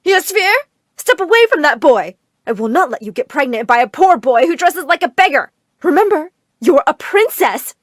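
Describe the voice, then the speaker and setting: An overbearing, money-minded mother, acted out by a woman storyteller, scolds and commands with high drama. Her voice is high and swoops widely, recorded very dry. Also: big pitch swings, moderate pace, no echo. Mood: commanding. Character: overbearing, snobbish, money-hungry mother, shrill and melodramatic.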